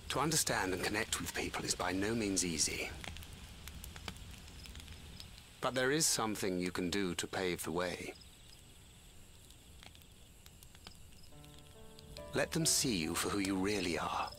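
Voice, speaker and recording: An actor playing a supportive young adventurer in a video game, sincere, plain-spoken and encouraging. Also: male voice, low-pitched, lively intonation, fairly quick, few pauses, a bit bright, slight background noise. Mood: gently encouraging. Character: candid, supportive young male adventurer, steady mentor. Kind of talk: video game cutscene acting. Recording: background music under dialogue.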